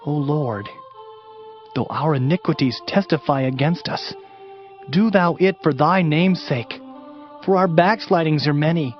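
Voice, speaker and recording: An actor playing a grave, lamenting Hebrew prophet in a solemn, declamatory dramatized Bible reading. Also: male voice, on the high side, unhurried, natural voice. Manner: solemn, declamatory, archaic phrasing. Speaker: dramatized scripture reader. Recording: dull audiobook sound with music underneath.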